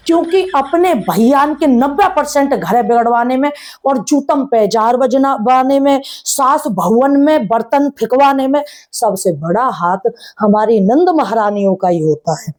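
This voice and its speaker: A woman's voice: sits high, darkish tone. A woman vlogger with a rural Haryanvi-Braj Hindi accent, chatting casually in a teasing, sarcastic way, addressing people directly with rhetorical questions. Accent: rural North Indian Haryanvi-Braj Hindi. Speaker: gossip vlogger. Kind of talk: casual chatty commentary on a family controversy.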